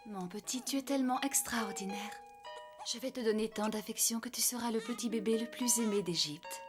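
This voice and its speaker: A woman voicing a tender, fearful mother of a newborn in an animated film dub, soft, loving and anxious. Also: on the high side, fairly quick, over music, natural voice. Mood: tender, sorrowful.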